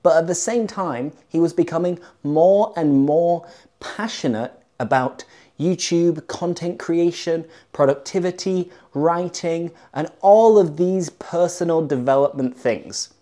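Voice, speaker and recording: Upbeat English teacher man, mellow voice. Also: a little high, unhurried. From Leeds, England. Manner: chatty, upbeat, lots of stressed words. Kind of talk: YouTube English lesson to camera.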